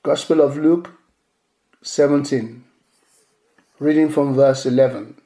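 A male pastor whose first language is Yoruba preaches a sermon in a measured way, repeating key phrases for emphasis. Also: medium pitch, steady tone, dark tone. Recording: echoey room.

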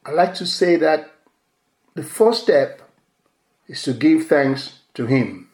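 A male pastor whose first language is Yoruba preaches a sermon in a measured way, repeating key phrases for emphasis. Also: fairly high pitch, animated, a little mellow. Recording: echoey room.

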